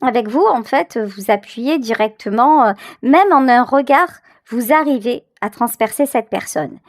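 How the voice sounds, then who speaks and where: A woman's voice: fairly high pitch, animated, measured pace, no echo. A woman hosting a tarot reading, talking conversationally to the viewer and feeling her way through phrases with emphatic repetitions. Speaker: tarot reader, channel host. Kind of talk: intuitive tarot reading, improvised commentary addressed to the viewer.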